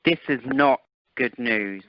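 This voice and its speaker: A man playing an earnest, gallant fellow, quick to protest, in an amateur radio play over the phone. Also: medium pitch, dry recording, close to an everyday voice. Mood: concerned. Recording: muffled telephone conference line.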